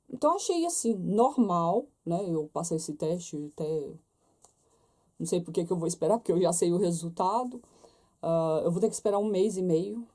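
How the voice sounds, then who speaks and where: A woman's voice: lowish voice, dark tone. A young Brazilian woman with a Brazilian Portuguese accent, chatting casually to her viewers and checking in with them often. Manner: chatty, talks straight to viewers with many tag questions. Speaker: vlogger. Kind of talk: casual chat to camera.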